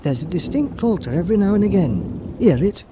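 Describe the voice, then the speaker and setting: An actor playing an edgy, plain-spoken British sailor held prisoner in a wartime radio drama, colloquial and given to grumbling. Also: male voice, high-pitched, a little fast, dull audio, noisy background. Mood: uneasy, urgent. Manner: colloquial, animated, complaining.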